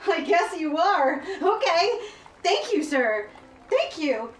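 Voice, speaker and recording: A woman plays an earnest charity collector in a radio play. Her voice is high and comes from a little distance in an echoey room. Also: sing-song, slow, heavily acted. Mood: surprised gratitude. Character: indignant charity solicitor, softening to grateful. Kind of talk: holiday radio play acting.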